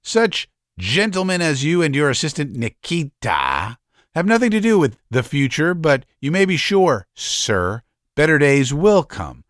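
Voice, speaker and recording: A man voicing an agitated young male asylum patient who is bitter and scornful, shouting and laughing mockingly. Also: a little high, big pitch swings, unhurried, no echo, studio quality. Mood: ironic, fervent.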